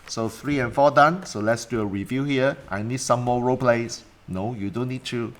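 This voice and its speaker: A man's voice: mid-range voice, moderate pace. A man, a coach talking alone to viewers in a livestream, asking rhetorical questions and repeating points. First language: Cantonese. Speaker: life coach. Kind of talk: solo livestream talk.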